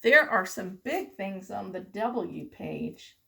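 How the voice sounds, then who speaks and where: A woman preschool teacher reading the alphabet aloud to children in simple, deliberate, sing-song phrases with questions. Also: medium pitch, animated, vocal fry, pushed and bright.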